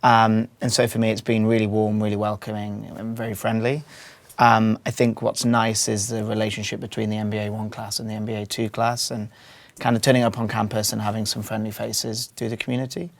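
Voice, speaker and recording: A man's voice: on the low side, flat delivery, a little fast, vocal fry, no room sound. A British man in his twenties giving informal, slightly hesitant answers as a student panelist. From United Kingdom. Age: 20s.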